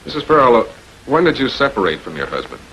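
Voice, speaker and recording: Public prosecutor, 1940s crime drama. Measured, authoritative, quietly persistent. Faint film noise. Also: male voice, medium pitch, sing-song, muffled recording. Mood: probing. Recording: noisy old 1940s film soundtrack.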